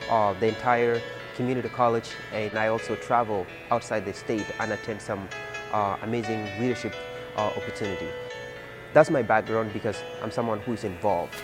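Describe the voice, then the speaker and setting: A young man from Ethiopia, a student leader, telling his story earnestly with many fillers. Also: on the low side. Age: young adult. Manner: earnest, halting with frequent fillers. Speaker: community college student leader. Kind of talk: personal interview testimony. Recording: music bed under the voice.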